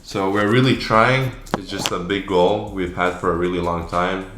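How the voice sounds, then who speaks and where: A young man of about twenty speaks English with a Latvian accent in a low-pitched voice. He chats informally, with some slang, as the founder of a small brand answering questions. The room around him is clearly echoey. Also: steady tone, normal speed, noisy background. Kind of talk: casual Q&A chat.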